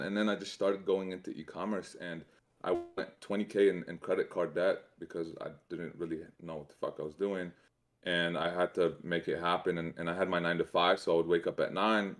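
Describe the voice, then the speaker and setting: A man's voice: low-pitched, flat delivery, a little fast. A man telling his personal story as a guest in a casual chat, with informal, slangy talk. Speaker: e-commerce entrepreneur, guest.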